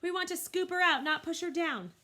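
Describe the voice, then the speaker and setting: A woman voicing a wise old female elephant matriarch who gives calm, firm, motherly commands in a storybook reading. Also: extremely high pitch, a little fast, voice pushed up. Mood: commanding.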